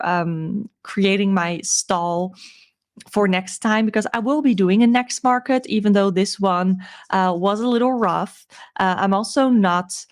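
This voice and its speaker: A woman with a croaky voice giving a casual, chatty recap as a vlog host, informal and a little hesitant as she thinks aloud. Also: mid-range voice. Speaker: crochet seller and video host.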